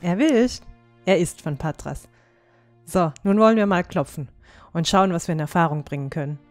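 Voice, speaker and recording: A woman's voice: fairly low pitch, normal speed, soft background music, lightly acted. A woman playing a plucky, teasing young dwarf squire in a fantasy audio drama, down-to-earth and matter-of-fact. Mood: friendly.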